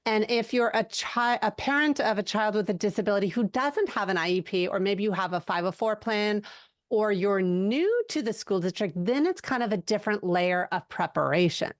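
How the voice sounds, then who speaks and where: A woman's voice: mid-range voice, expressive, moderate pace, no room sound, studio quality. A middle-aged woman giving conversational advice as a podcast guest, explaining things informally. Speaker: podcast guest, educational advocate.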